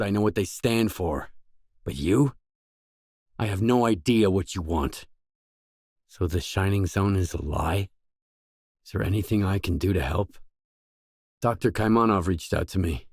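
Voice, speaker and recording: An actor playing a determined, guarded stalker in a video game, speaking in a terse, businesslike manner. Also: male voice, low voice, darkish tone, dry recording, clean studio sound, full character voice. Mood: firm, suspicious. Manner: terse, businesslike, probing questions. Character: gruff, guarded, determined stalker, a man roaming a hazardous zone.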